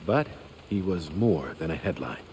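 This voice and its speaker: An actor playing a grieving, dignified uncle in a video game, delivering a measured, heartfelt eulogy. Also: male voice, low-pitched, lively intonation, darkish tone. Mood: mournful, proud. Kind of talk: video game cinematic acting. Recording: faint rain and background noise.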